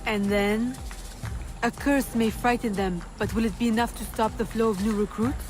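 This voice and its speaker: Female actor as young woman assassin, determined, earnest, defiant, slightly high pitch, game drama, music bed. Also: fairly even intonation, moderate pace, natural voice. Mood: urgent, earnest. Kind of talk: dramatic video game voice acting.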